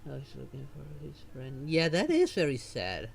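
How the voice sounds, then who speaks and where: A man's voice: medium pitch, huge pitch swings, normal speed, creaky. A man streaming a game, chatting casually with wry asides. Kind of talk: casual gameplay commentary. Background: faint game music.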